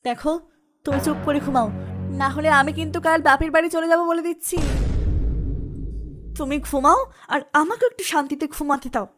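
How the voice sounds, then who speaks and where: A woman's voice: high voice, steady tone, fairly quick, long pauses, music in the background, lightly acted. A woman voicing a bossy, nagging young village wife in a cartoon, full of complaint. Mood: weary, firm. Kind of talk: exaggerated cartoon dialogue.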